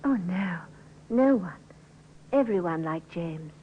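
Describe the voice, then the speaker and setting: An actress playing a distraught, hesitant young wife in an old radio crime drama. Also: female voice, lowish voice, expressive, slow. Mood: anxious, subdued. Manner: hesitant, breaking off. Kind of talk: 1950s radio drama acting. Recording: dull, noisy old radio recording.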